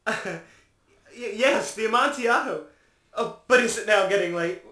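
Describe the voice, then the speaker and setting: A man playing a drunken, desperate nobleman in a gothic audiobook, halting and theatrical, in an echoing space. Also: high voice, bright, forceful tone. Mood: feigned mirth, pleading. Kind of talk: dramatic gothic audiobook acting. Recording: roomy, echoing sound.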